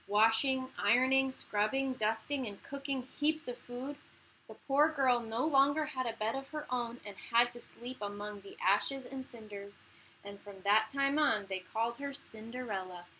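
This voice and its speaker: A woman reading a children's story aloud as a teacher, in an animated, emphatic way with key words drawn out. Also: fairly high pitch, a little hiss or hum, poor audio. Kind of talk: expressive children's read-aloud narration.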